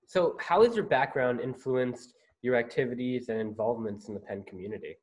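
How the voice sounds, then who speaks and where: A man's voice: medium pitch, a little fast, poor audio. A young man hosting a student interview over a video call, asking formal prepared questions. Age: young adult. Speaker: interview host. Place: home office.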